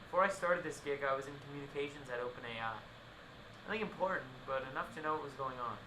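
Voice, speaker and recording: A man's voice: a little high, quick pace, natural voice. A man acting in a radio play as an exasperated, condescending witness to a tech disaster. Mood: candid, weary. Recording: echoey, clearly noisy location recording.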